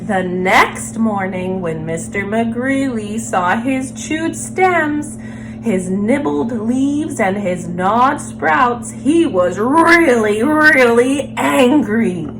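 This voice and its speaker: A woman reading a children's picture book aloud as a story time host, expressively and with drawn-out emphasis on words. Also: on the high side, animated, over music, poor audio.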